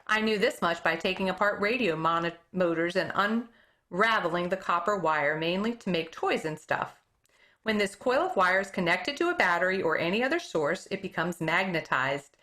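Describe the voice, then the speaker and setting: Bright, lively woman reading a book aloud. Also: on the low side, moderate pace. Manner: expressive read-aloud, occasional stumbles and self-corrections. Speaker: elementary school teacher reading aloud.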